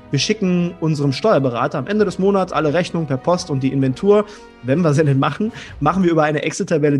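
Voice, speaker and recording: A man with a fairly high voice hosts a podcast in a lively, colloquial way. Faint music plays under his voice. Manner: upbeat, colloquial, uses casual emphasis. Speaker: podcast host. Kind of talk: sponsored hospitality podcast interview.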